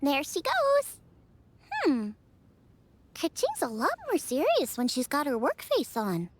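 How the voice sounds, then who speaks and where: A woman voicing a tiny, childlike fairy companion in a video game, squeaky, perky and inquisitive. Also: huge pitch swings, slow, slight background noise, exaggerated voice. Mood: playful. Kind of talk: video game character acting.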